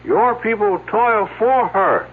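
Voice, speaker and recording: An actor playing a solemn, cryptic mystic with a put-on foreign accent and stilted delivery in an old-time radio drama. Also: male voice, high-pitched, lively intonation, slow, slightly rough, exaggerated voice. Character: solemn, cryptic male mystic in Mexican costume. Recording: thin narrow-band vintage radio recording.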